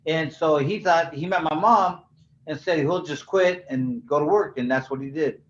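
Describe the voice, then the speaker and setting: Brief: older man, fairly high voice, casual rambling storyteller, roomy echo, dull lo-fi sound. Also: normal speed. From California. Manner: laid-back, rambling, heavy "you know" fillers. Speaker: guest, former professional boxer.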